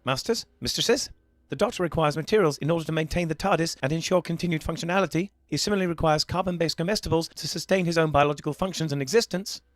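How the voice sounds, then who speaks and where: Man voicing a fast, dutiful robot servant, high-pitched. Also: no echo, natural voice. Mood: formal, deferential. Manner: formal, stilted, polite, precise. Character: dutiful, servile robot companion to a time traveller. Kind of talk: scripted appeal to listeners.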